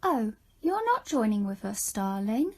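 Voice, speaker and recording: A woman's voice: fairly high pitch, big pitch swings, measured pace, exaggerated voice. A woman playing a flirtatious society lady at a party in an amateur audio drama, with an affected manner. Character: flirtatious society lady guest, fond of a drink.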